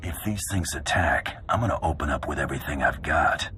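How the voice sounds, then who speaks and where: Very deep, forceful male bounty hunter. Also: expressive, a little fast, soft background music, full character voice. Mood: tough, challenging. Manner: terse, tough, no-nonsense. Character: gruff male bounty hunter, tough and businesslike. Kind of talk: video game cutscene acting.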